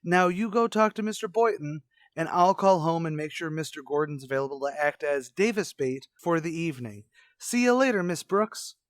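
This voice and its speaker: Guy doing an eager teen schoolboy for a radio comedy, kinda high voice, brash n slangy, excitable, dry sound. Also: normal speed, no echo, natural voice. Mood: scheming, brash. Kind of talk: old-time radio comedy acting.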